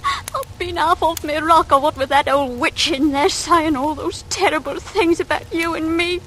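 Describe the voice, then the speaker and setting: An actress playing a young, clinging English maid in a radio drama, with a working-class accent and a plaintive, breathless delivery. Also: female voice, very high voice, normal speed, fairly bright tone. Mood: tearful, pleading. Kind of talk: 1940s radio acting. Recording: noisy vintage radio recording.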